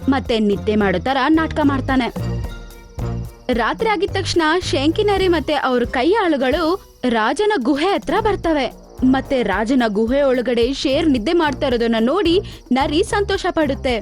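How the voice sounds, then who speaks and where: A woman's voice: high-pitched, expressive, unhurried, a little mellow. A woman narrating a scripted cartoon story for children. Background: music.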